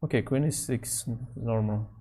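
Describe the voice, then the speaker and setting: A man talks slowly through a chess game in English. He thinks out loud, often pausing mid-phrase. Soft mouse clicks can be heard behind him. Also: medium pitch. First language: Nogai. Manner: halting non-native English, thinking out loud. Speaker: chess streamer. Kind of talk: thinking aloud while playing online chess.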